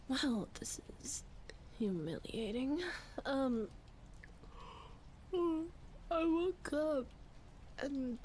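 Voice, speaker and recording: A woman acting as a sleepy, flustered young roommate in an intimate roleplay ASMR, murmuring hesitantly and often whispering, shy and playful. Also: a little high. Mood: sheepish, apologetic. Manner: hesitant, halting, breathy murmuring with sighs. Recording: clear background ambience noise. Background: rain ambience.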